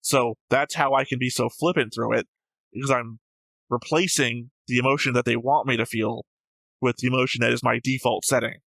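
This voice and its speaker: A man's voice: medium pitch, fairly even intonation, brisk, vocal fry, a bit bright, no room sound, low-quality recording. A man talking casually as a reaction show host, opinionated and analytical, with a blunt, flippant way of speaking.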